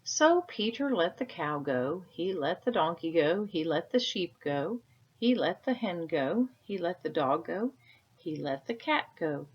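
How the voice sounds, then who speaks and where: A woman reading a children's story aloud like a teacher, adding casual comments and gentle questions for the listener. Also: on the low side, big pitch swings, unhurried, slightly rough. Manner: asks gentle tag questions. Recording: dull, lo-fi sound.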